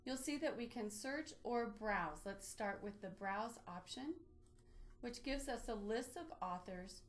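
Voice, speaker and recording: A woman presenting an instructional software walkthrough, explaining methodically step by step in a lightly improvised way. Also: fairly high pitch, expressive, measured pace. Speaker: presenter of a library database tutorial.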